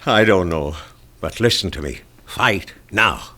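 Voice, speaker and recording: An older tough barkeeper in a radio mystery drama. His voice is low and swings widely, urgent and protective, moving between hushed pleading and emphatic outbursts. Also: lively intonation, unhurried, heavily acted. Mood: urgent, fired up. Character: older male bar owner, protective, urgent, tough-talking friend.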